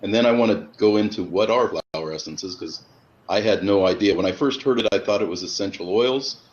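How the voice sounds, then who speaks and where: A man in his fifties with a fairly low voice hosts a relaxed interview and stumbles over his words as he starts them. The sound is dull and a little muffled, with a faint noise underneath. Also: fairly quick. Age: 50s. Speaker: webinar host. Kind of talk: casual interview hosting.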